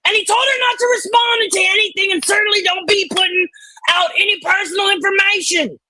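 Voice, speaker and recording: A woman co-hosting casually, chatting and reading online comments aloud in a loud, theatrical, emphatic manner. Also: very high voice, fairly even intonation, fairly quick, slight background noise, poor audio. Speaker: co-host.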